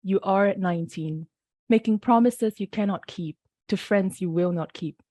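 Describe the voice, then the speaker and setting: A woman from Singapore reading her poems aloud and briefly introducing each one, sounding a little rough from the early hour. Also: medium pitch, dark tone, no room sound, studio quality. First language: Mandarin. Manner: measured, unhurried reading with brief spoken introductions. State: slightly off-sounding early-morning voice. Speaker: poet reading her work. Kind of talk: poetry reading.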